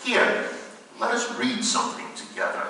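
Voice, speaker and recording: Man acting formal gothic gentleman, very echoey. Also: a little high, huge pitch swings, heavily acted. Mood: forced calm. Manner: formal, measured, dramatic. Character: anxious, earnest gentleman friend narrating a gothic horror tale. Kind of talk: theatrical narration and radio drama acting. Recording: very echoey hall, distant mic, faint noise.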